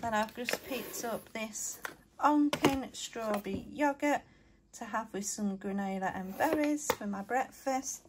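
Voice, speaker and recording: A young woman talks through her week's grocery haul at home in a chatty, matter-of-fact way. She speaks at a slow, unhurried pace. A faint hiss sits under her voice. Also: on the high side. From England. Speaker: family vlogger. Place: living room at home, then kitchen counter.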